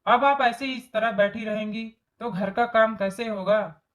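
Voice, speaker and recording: A woman's voice: fairly high pitch, steady tone, measured pace, close to an everyday voice. A woman voicing a bossy, scheming young daughter-in-law in a storybook tale, scolding and commanding.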